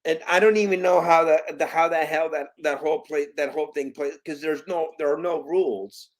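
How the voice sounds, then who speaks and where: Earnest halting man in his fifties. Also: fairly high pitch, steady tone. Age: 50s. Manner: halting, restarts often, earnest and emphatic. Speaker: guest, parent. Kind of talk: conversational interview.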